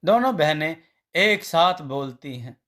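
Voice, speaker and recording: Slow-paced, higher-pitched male storyteller. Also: expressive, bright, forceful tone. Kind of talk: scripted narration of a Hindi family story.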